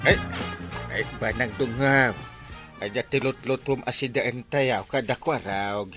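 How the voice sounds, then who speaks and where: An actor with an Ilocano accent playing a hapless, forgetful, henpecked village captain in a radio comedy drama, whining and sputtering. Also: male voice, mid-range voice, a little slow, music in the background, lightly acted. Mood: whining, sheepish.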